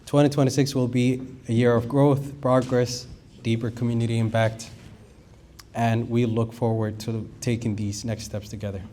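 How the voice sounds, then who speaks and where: A man with a mellow, fairly flat voice delivers a prepared speech at a gala. His pace is steady and measured, with faint background noise behind him. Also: medium pitch. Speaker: speaker at a gala.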